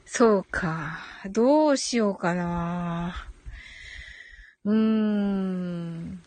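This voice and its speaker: A woman's voice: on the low side, extremely slow, darkish tone, no room sound. A woman hosting a casual live audio show, chatting with listeners in a giggly way and thinking aloud as she goes.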